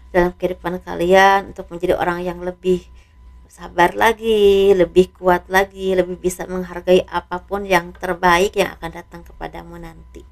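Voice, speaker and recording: A slightly hoarse woman doing a casual tarot reading, speaking softly and letting her phrases trail off. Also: fairly low pitch. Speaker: tarot reader.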